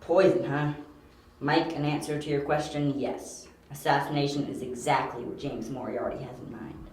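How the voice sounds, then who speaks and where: A man playing a young, confident, analytical detective in an amateur audio drama, talking briskly and insistently. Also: mid-range voice, steady tone, a little hiss or hum, natural voice. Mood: assured. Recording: very echoey room.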